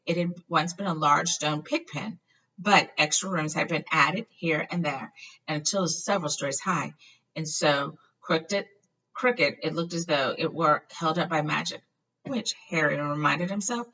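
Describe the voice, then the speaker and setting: A woman reading a children's storybook aloud in an animated, expressive way, with slight stumbles. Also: deep voice. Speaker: reader of a children's book. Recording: dull lo-fi, far from the mic.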